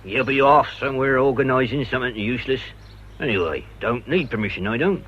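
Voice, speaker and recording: An actor playing a stubborn old British church verger in a radio drama, plain-spoken, colloquial and impatient. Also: male voice, mid-range voice, fairly quick, natural voice. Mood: grumbling. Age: older. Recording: dull, noisy radio drama recording.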